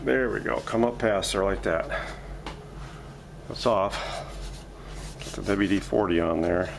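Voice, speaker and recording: A man's voice: lowish voice, measured pace, gravelly, background noise. A man giving casual, step-by-step narration as he works, muttering his thoughts aloud in a workshop. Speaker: vintage radio restorer hosting a workshop video. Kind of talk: casual hands-on narration while working. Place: home workshop.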